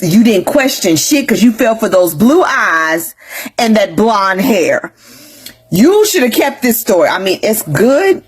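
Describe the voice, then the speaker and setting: A woman's voice: a little high, slightly rough. A woman giving blunt, profane commentary, scolding someone who was scammed. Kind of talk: reaction commentary video.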